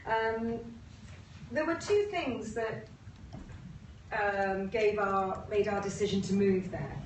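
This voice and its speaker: A woman's voice: mid-range voice, takes it slowly. A woman in her fifties with a British accent gives a personal, reflective talk in an informal style. She sounds some way from the microphone in a reverberant room, with noticeable noise behind her. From Uganda-born, grew up in England. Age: 50s. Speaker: presenter, agency co-founder. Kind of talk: personal narrative presentation. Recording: roomy, noisy, distant mic.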